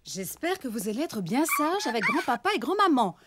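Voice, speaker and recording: A woman voicing a busy, affectionate mother elephant in a French children's cartoon, motherly and expressive, firm with her children. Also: a little high, brisk, background noise. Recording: music behind the voice.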